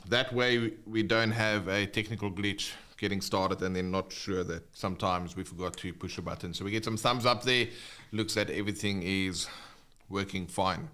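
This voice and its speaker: A man's voice: lowish voice, fairly bright tone, clean tone. A man with a South African accent who speaks English as a second language, hosting a casual live guitar lesson and chatting with viewers. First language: not English (English is second language). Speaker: guitar teacher, livestream host.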